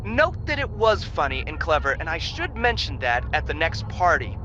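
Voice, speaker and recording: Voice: mid-range voice, very animated, normal speed, sharp tone, muffled recording, slight background noise. A performer playing a smug, self-congratulating commanding officer heard over a transmission. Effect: radio transmission.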